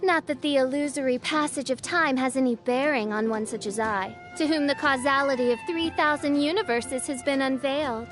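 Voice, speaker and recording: A woman's voice: sits high, moderate pace, few pauses, fairly bright tone, soft background music. A woman voicing a theatrical teenage girl who poses as an imperious princess from another world in a video game, speaking in pompous, archaic phrases. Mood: regal, boastful. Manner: pompous, grandiose, archaic diction. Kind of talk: video game character voice acting.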